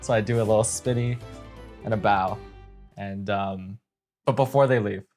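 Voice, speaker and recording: Halting, theatrical male roleplayer. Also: fairly low pitch, steady tone, darkish tone, faint music behind. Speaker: tabletop game player. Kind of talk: improvised in-character roleplay.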